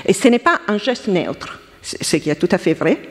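A woman's voice: medium pitch, expressive. Italian-accented woman in a reverberant hall. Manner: halting, self-correcting, thinking aloud. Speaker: round-table panelist. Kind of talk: academic round-table remarks. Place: lecture hall.

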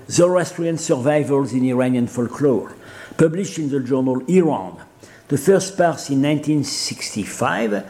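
A man with a Persian accent giving an academic seminar lecture, halting often with fillers and restarts. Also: on the high side, dark tone.

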